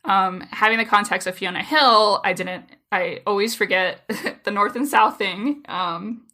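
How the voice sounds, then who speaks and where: A woman's voice: mid-range voice, bright, forceful tone. A woman from the US Southwest, co-hosting a podcast and laughing often. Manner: giggly, quick to laugh. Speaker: podcast co-host. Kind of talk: casual chat.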